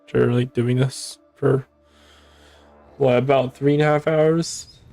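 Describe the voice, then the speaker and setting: A young man streaming a game, hoarse and tired, rambling casually as he thinks aloud. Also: mid-range voice, steady tone, slow pace, warm tone, no echo, faint music behind. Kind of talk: casual live-stream commentary.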